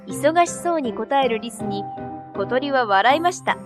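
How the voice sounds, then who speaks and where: A woman's voice: fairly high pitch, lively intonation, unhurried. A woman reading a scripted children's story as narrator, with music playing behind her.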